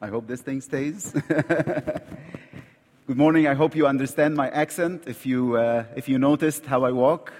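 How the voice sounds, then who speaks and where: A man's voice: medium pitch, a little slow, a little mellow, long reverb tail. A man from Egypt with an Egyptian accent, preaching a sermon as a visiting preacher, earnest and repeating words as he searches for his phrasing. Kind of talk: sermon with prayer. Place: church sanctuary.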